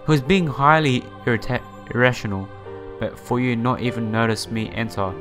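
A man's voice: medium pitch, fairly quick, music in the background. A man hosting a let's play, reading a visual novel aloud with casual asides and stumbling now and then over the words. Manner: stumbles over read words and repeats phrases.

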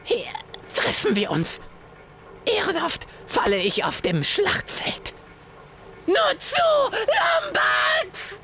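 A melodramatic, whiny video game superhero fanatic, drawing words out in a bright, piercing delivery. The pitch swoops wildly from line to line. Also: male voice, huge pitch swings, slow pace, exaggerated voice. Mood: shrieking, frantic. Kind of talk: video game cutscene acting.

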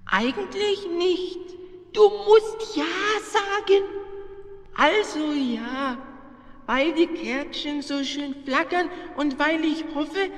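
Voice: high-pitched, slow, long reverb tail. An actor playing a naive, grumpy animal bride who blurts out objections, in a children's radio play. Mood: sulky, naive. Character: grumpy, literal-minded animal bride Wawa in a veil.

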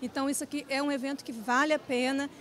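A woman's voice: high voice, steady tone, quick pace. A woman speaking earnestly in an event interview with hesitant fillers, into a handheld reporter mic.

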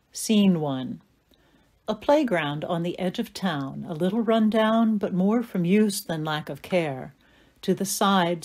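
Lower-pitched female audio play narrator. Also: a little mellow. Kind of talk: scripted narration of stage directions, atmospheric storytelling.

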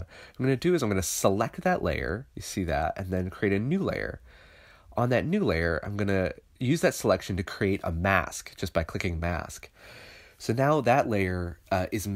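A man with a stuffy, congested voice from a cold, talking through his digital painting process in a loose, thinking-aloud tutorial voice-over. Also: low voice. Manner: thinking aloud, loose and conversational with many fillers. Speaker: illustrator teaching digital painting.

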